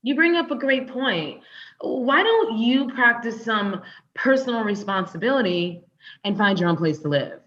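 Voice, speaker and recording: A woman's voice: fairly high pitch, animated, measured pace, a bit bright, dull audio. A woman playing a sarcastic, combative younger woman in a comedy table read, with a pointed delivery. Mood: combative, sardonic. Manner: sardonic, pointed.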